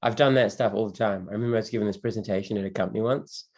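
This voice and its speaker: A man hosts a podcast conversation in a lowish voice. He thinks aloud and builds long, winding questions before handing over to his guest. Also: very fast, darkish tone. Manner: reflective, thoughtful, asks long winding questions. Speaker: podcast host. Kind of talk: conversational interview.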